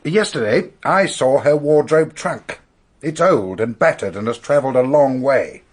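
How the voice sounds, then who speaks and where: Man acting a dogged, husky British investigator. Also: medium pitch, animated, moderate pace, close to an everyday voice. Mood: pressing, methodical. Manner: formal, precise, pressing. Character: methodical, accusing male police investigator, dogged and formal. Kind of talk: radio drama acting.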